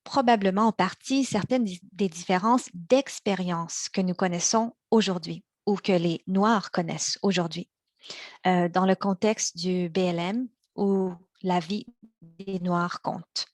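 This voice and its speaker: A young woman born in China and raised in Montreal, giving a prepared practice speech in a measured way, slowing on key words, with small restarts. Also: on the low side, no room sound. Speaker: practice speaker for interpreters.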